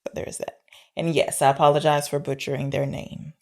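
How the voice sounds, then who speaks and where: A woman's voice: deep voice, little pitch movement, fairly quick, creaky, darkish tone. A woman co-hosting a podcast, casually and playfully discussing films. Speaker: podcast co-host.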